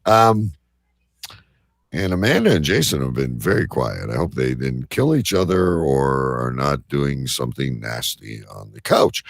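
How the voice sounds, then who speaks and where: A man's voice: low-pitched, fairly bright tone, dry recording, studio quality. A man hosting a live show with casual, jokey banter and a rambling manner. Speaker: host of a live online show.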